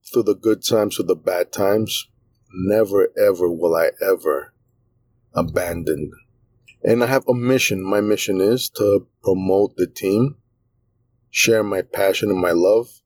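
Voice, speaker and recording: A New man hosts his own podcast in a casual, rambling monologue, leaning on fillers as he talks with earnest passion. His voice sits very low and has a husky, slightly rough grain. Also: a little mellow. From New York, USA. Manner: passionate fan talk. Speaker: podcast host.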